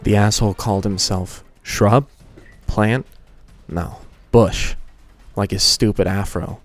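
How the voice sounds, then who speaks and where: A man's voice: lowish voice, fairly even intonation, slow pace, soft background music. A man hosting a fan fiction reading and narrating in a casual style. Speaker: host and fan fiction reader.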